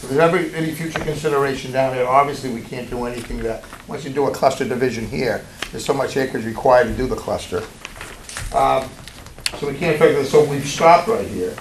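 A man presenting his development plans at a public meeting, explaining in a halting, apologetic way. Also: medium pitch. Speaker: property developer applicant. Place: town hall meeting room. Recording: roomy, distant, hissy meeting room audio.

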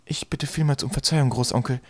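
A man's voice: medium pitch, quick pace, no room sound, pitched above the performer's own voice, close to an everyday voice. Man as a polite, timid boy. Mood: embarrassed. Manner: courteous, hesitant.

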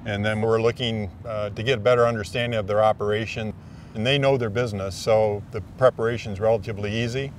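A man's voice: lowish voice, steady tone, quick pace, distant mic. A man working as an energy auditor, explaining his work in an interview.

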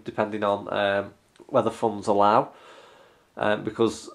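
Snuffly young guy with a cold, lowish gravelly voice, lots of ums, filming a model truck in his living room. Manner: rambling, frequent ums. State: congested from a cold. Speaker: model collector and channel host. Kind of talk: casual hobby review.

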